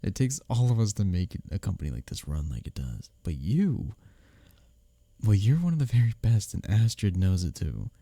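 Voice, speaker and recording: A man acts out a male office rival in an intimate ASMR audio drama, secretly smitten beneath the teasing. His low voice is gravelly and mellow, swinging expressively as he speaks earnestly and close to the listener. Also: no room sound, full character voice. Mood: earnest, admiring. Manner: expressive, halting confessions with soft chuckles and sighs.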